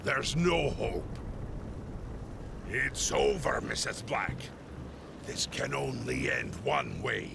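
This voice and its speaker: An actor playing a bitter, grieving undead pirate captain in a video game, brooding and menacing with a growling, theatrical delivery. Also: male voice, mid-range voice, sing-song, takes it slowly, long pauses, noisy background, exaggerated voice. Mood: anguished, hopeless. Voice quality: gravelly, growling. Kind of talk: video game cutscene acting. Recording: music behind.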